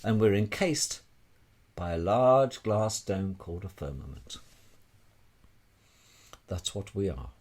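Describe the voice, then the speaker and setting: A man's voice: deep voice, sing-song, slow, pauses often, a little mellow. A man giving an informal, emphatic explanatory monologue as an amateur presenter, repeating phrases and posing rhetorical questions.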